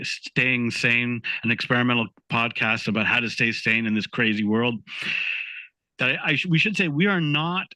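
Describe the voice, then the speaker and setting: Male podcast co-host, thoughtful chatty delivery with frequent restarts, bright forceful tone, dry sound. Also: medium pitch, no room sound, low-quality recording. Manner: thoughtful, laughs easily, restarts sentences. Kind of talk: casual podcast conversation.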